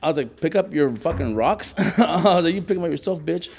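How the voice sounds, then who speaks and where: A man's voice: fairly high pitch, lively intonation, fairly quick, gravelly, darkish tone, husky. A man from the San Francisco Bay Area, a Marine veteran guest, telling war stories in casual, profane slang. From San Francisco Bay Area, United States.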